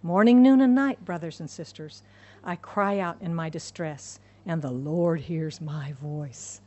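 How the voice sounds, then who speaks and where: A woman's voice: sits low, measured pace, darkish tone. A woman from the United States preaching a sermon, emphatic and repeating her key phrases for the congregation. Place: church in Hong Kong.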